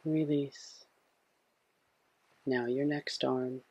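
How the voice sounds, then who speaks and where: A man with a husky, breathy voice gently guiding a sleep meditation in a soothing, hushed way. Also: medium pitch, little pitch movement, extremely slow, dull audio. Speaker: meditation guide.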